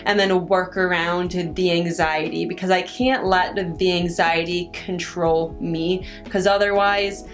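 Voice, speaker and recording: A woman's voice: fairly low pitch, steady tone. A young woman answering viewer questions in a casual chat, stuttering on first sounds and restarting her sentences often. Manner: halting, many restarts and pauses. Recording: faint guitar music underneath.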